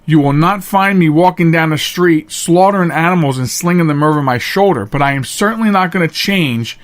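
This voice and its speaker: Middle-aged man with a higher-pitched voice. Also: fairly quick. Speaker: podcast host. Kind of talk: casual opinion commentary on a news item.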